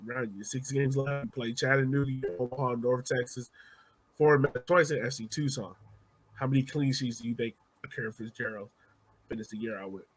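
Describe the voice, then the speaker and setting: A man's voice: mid-range voice, a bit bright, husky, low-quality recording. A man hosting a casual soccer podcast, talking informally and emphatically like a devoted fan. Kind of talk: casual sports podcast chat.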